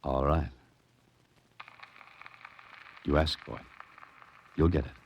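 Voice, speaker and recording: Very deep-voiced hard-boiled American radio sleuth. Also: male voice, level tone, moderate pace, a little mellow. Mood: hard, probing. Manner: clipped, wry, insistent. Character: tough, wry male adventurer-sleuth, narrating and pressing for answers. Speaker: lead actor and narrator. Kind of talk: 1940s radio suspense acting. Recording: noisy, muffled vintage 1940s radio recording.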